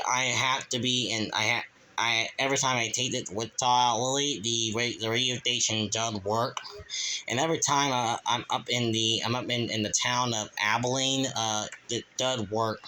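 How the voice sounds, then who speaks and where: A man hosting his own channel, showing his collection in a halting, rambling way, full of restarts and fillers. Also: fairly low pitch, bright, forceful tone, rough recording. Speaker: channel host. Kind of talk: casual collection show-and-tell.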